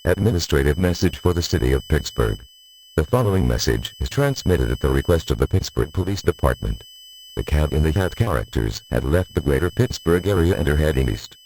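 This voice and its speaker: A man's voice: extremely low pitch, lively intonation, brisk, a little mellow, a little hiss or hum, poor audio. A man reading a scripted emergency broadcast announcement in a formal, official manner.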